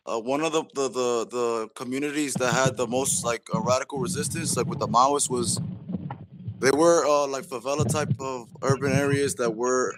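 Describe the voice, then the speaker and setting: A man taking part in a discussion, speaking hesitantly and haltingly. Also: mid-range voice, background noise. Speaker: discussion participant.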